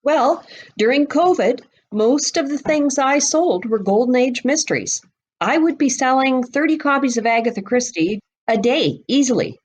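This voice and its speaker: A woman's voice: high-pitched, expressive, normal speed, a little mellow, husky. A woman speaking as an expert guest in a podcast interview, explaining things in an emphatic, conversational way.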